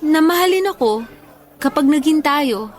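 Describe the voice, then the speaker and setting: A woman playing a tired, affectionate young schoolteacher in a Filipino radio love drama, speaking softly and earnestly. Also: high voice, lively intonation, measured pace, some chatter behind. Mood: shy, earnest.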